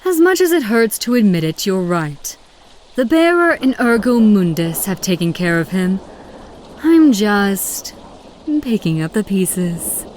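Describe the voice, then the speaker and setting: A woman's voice: medium pitch, expressive, unhurried, darkish tone, slight background noise, natural voice. An actress voicing a determined, zealous female agent of a secret order in a video game, solemn and poised. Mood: measured, earnest. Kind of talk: dramatic video game dialogue.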